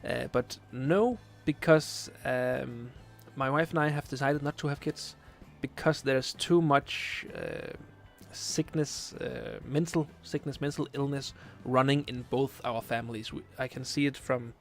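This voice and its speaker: Man, late twenties, Danish accent, gravelly, casual stream chat, hesitant fillers. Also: medium pitch, very animated, measured pace, few pauses, soft background music. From Denmark. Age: 20s. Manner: hesitant, many filler uhs, searching for English words. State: tired late at night. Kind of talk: casual live stream chat, reading chat questions.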